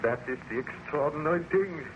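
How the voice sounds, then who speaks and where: A loyal, earnest male friend portrayed by an actor speaks in a fairly high voice on a muffled vintage radio recording with noticeable noise. His delivery is grave and formal, coloured by horror, as suits melodrama. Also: expressive, brisk, lightly acted. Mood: grave, concerned. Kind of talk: old-time radio melodrama acting.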